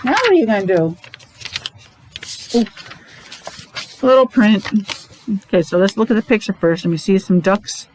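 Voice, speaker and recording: Woman, teacher, medium pitch, children's read-aloud, short simple explanatory asides, repetitive phrasing, slight background noise. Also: very animated, normal speed, pauses often, a little mellow, low-quality recording. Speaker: preschool or special-needs teacher.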